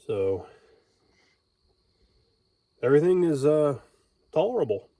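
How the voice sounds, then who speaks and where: A man's voice: on the high side, very animated, slow pace, warm tone, no echo, clean studio sound. A man talking casually and informally in a folksy way, explaining things as he goes. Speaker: homesteader and amateur radio operator vlogging.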